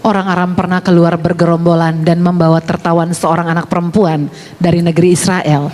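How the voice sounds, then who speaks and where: Flat, mellow woman reading scripture. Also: fairly low pitch, a little fast, long reverb tail. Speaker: scripture reader. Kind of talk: reading Bible verses aloud.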